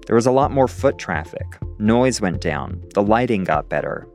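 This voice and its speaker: A man's voice: on the low side, animated, normal speed, darkish tone, soft background music. A male reporter narrating a scripted radio feature, partly recorded outdoors in a city park.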